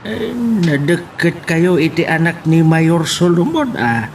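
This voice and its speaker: A man's voice: a little high, lively intonation, a little slow, a little hiss or hum. A man with an Ilocano accent playing a nosy, teasing older uncle in a radio drama. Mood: teasing, cautioning.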